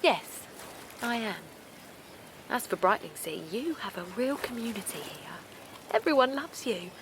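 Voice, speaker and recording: Anxious, loyal friend, faint ambience. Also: female voice, a little high, animated, measured pace, noisy background. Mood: warm reassurance. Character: Linda, a supportive, anxious woman and loyal friend. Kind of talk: naturalistic audio drama acting, with dialogue and narration.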